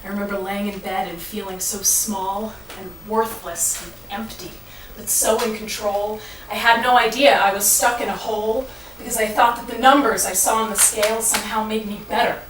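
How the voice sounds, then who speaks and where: A woman delivering a rhyming spoken-word monologue in a dramatic, deliberate storytelling manner. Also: medium pitch, steady tone. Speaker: monologue performer. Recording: echoey, noisy room from far off.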